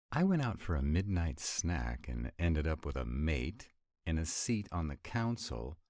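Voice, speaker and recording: Vampire lover, played by a male actor, romantic audio drama: extremely low voice, dry close sound, sardonic, exasperated, affectionate. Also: a little mellow, no echo, full character voice. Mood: tender teasing. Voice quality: very deep voice. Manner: sardonic, exasperated, intimate, swooping emphasis. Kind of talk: romantic roleplay audio acting.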